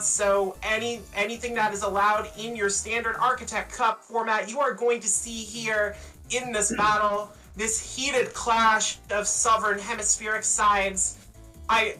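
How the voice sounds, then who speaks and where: An energetic woman hosts a live esports stream, full of joking, boastful banter. Her voice is bright and a little high, and she sounds set back from the mic with faint music underneath. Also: distant mic, poor audio. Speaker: host and caster.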